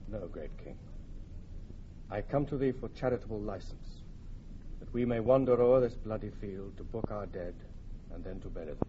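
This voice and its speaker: An actor playing a humbled, dignified French herald, speaking formal, measured verse in classical Shakespearean radio style. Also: male voice, lowish voice, warm tone, natural voice. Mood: grieving, pleading. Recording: dull, noisy archival radio drama recording.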